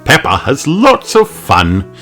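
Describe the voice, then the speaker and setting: A children's storybook narrator with a middling pitch that swoops expressively through each sentence. The reading is slow and deliberate, over soft music. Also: wildly swooping pitch. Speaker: audiobook reader. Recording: music under the voice.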